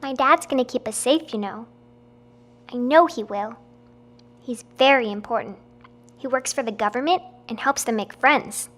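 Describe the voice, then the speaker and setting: A woman plays a nine-year-old girl with a high, light voice. She talks earnestly and openly, in a childlike way. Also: normal speed, pauses often, a bit bright, close to an everyday voice.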